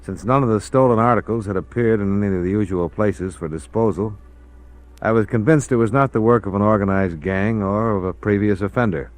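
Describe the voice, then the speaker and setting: An actor playing a cool, laconic, wry insurance investigator in a 1950s radio detective drama. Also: male voice, fairly low pitch, fairly quick, natural voice. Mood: measured, narrating.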